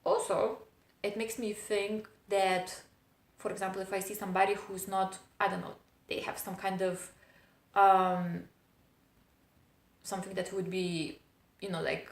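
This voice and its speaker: A woman in her thirties who speaks English as a non-native speaker with a noticeable foreign accent. She talks in a thoughtful, reflective monologue with pauses and fillers. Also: mid-range voice, flat delivery. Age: 30s. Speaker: vlogger. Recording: roomy echo.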